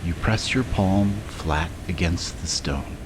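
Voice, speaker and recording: A man narrating a scripted guided meditation in a measured way, with long pauses between short phrases. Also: deep voice, background noise.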